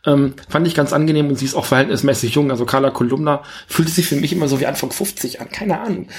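A man's voice: fairly high pitch, quick pace. A man co-hosting a podcast, chatting casually about films and thinking aloud as he rambles. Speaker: podcast co-host. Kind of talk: casual film review chat.

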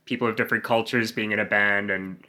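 A man's voice: lowish voice, steady tone, pushed and bright. A young man hosting an interview podcast, talking in an upbeat, agreeable casual way. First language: English. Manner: upbeat, agreeable, quick affirmations.

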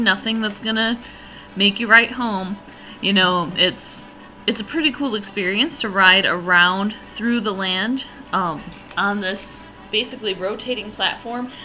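A woman hosting her own podcast in a chatty, enthusiastic way, her voice slightly raspy and hoarse from allergies. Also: mid-range voice, a little slow, bright, forceful tone, soft background music, low-quality recording. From northern region. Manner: chatty, enthusiastic, rambling with fillers. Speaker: podcast host. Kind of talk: casual solo podcast review.

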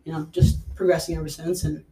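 Young trainer guy from mexico rambling in an interview, kinda high voice, pretty flat tone, says like a ton. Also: fairly quick, low-quality recording. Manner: rambling, filler-heavy, says "like" a lot. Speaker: personal trainer and guest. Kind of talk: casual podcast interview.

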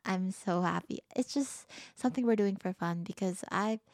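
A teenage girl hosts her own podcast and chats in an upbeat, rambling way, full of "like" and little restarts. Her voice is a touch tired and lower than usual. It is recorded close and dry, with a mid-pitched tone. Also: normal speed, dry recording. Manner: bubbly, upbeat, lots of "like" fillers. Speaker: podcast host. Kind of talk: casual solo podcast chat.

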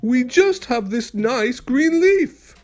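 A man voicing timid small animals in a storybook, with a high, stammering, nervous voice. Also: animated, slow, soft background music, full character voice. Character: timid stammering little creatures.

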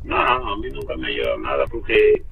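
Bright-voiced man in his fifties. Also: on the low side, big pitch swings, creaky, slight background noise. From Dominican Republic. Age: 50s. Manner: halting, repetitive, defensive. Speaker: prank call victim. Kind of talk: casual phone conversation.

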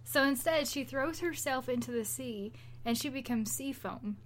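A woman's voice: high voice, normal speed, slight background noise. A woman co-hosting a podcast, chatting casually and retelling stories in an informal, rambling, conversational way. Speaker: podcast co-host.

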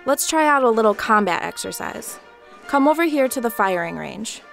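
Sardonic video game drill instructor. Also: female voice, on the high side, animated, normal speed, gravelly, soft background music. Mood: bossy, encouraging. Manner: sardonic, bossy, condescending. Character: sarcastic female military training instructor in a video game. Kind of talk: comic audio drama acting.

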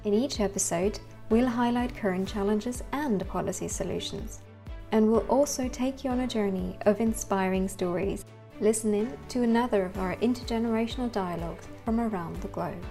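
Woman host, smooth read of a podcast opening, dark mellow voice over faint music. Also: medium pitch, moderate pace. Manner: smooth scripted delivery. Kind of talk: scripted podcast intro. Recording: intro with soft music underneath.

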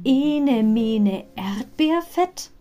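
A woman's voice: fairly high pitch, expressive, extremely slow, warm tone, soft background music. A woman voicing a plucky young witch girl from an audio play, chanting spells in an exclaiming sing-song. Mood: excited chanting.

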